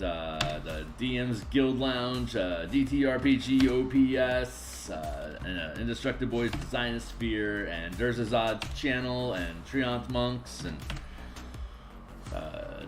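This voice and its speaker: A raspy, tired man chatting casually on a live stream while making game art, rambling and muttering to himself as he works. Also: mid-range voice, slight background noise. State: tired, just woke up.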